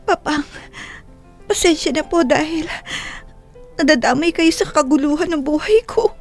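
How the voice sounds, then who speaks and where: A woman's voice: sits high, moderate pace, soft background music. A woman playing a frightened, grieving young daughter in a Filipino audio drama, pleading tearfully. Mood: meek, apologetic. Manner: tearful, sobbing, pleading delivery.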